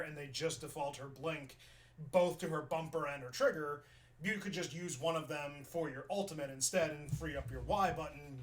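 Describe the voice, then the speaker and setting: Young guy from new york breaking down a game replay, kinda high-pitched, rambly and chatty. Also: slight background noise. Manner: chatty, thinks aloud with restarts. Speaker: streamer reviewing gameplay. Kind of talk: casual gameplay review commentary.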